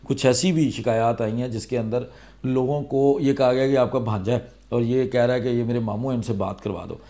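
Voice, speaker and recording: A man's voice: mid-range voice, steady tone, a little fast, mellow. A man with a Pakistani accent speaking as a vlogger, explaining things to viewers conversationally and step by step. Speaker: vlogger giving legal awareness advice. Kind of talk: explanatory vlog talking to viewers.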